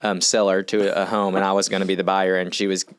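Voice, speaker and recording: A man's voice: sits low, monotone, quick pace, clear, resonant tone. A young man, a podcast guest, telling his story loosely and laughing between sentences. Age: young adult.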